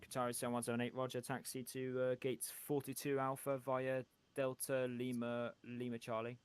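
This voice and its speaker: Even-toned male air traffic controller, clear voice. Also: mid-range voice. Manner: hesitant, halting delivery with many pauses. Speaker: air traffic controller in an online flight simulation game. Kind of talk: radio traffic control phraseology.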